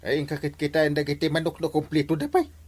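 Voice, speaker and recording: A man's voice: on the high side, expressive, quick pace, husky. A man with an Ilocano Filipino accent playing a henpecked, bumbling village captain, stammering and exclaiming in an amateur comedy skit. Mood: puzzled. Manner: stammering, full of startled exclamations.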